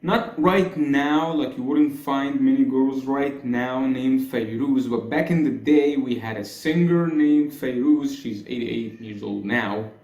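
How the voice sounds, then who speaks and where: A man's voice: mid-range voice, slow, few pauses, a little mellow. A young man from Egypt with an Egyptian accent, chatting in a casual explainer vlog, hedging often and gesturing as he talks. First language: Arabic. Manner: chatty, hedging, gestures a lot with his hands. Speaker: YouTuber. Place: small room with blue walls, indoors. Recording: echoey small room.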